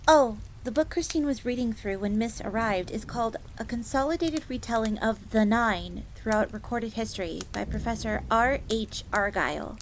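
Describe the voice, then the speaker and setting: A woman's voice: fairly high pitch, moderate pace, few pauses, natural voice. A woman playing an earnest, devoted young fox maid in a scripted fantasy roleplay audio drama, bookish and speaking of herself in the third person. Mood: informative. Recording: steady ambient noise, crackling fire.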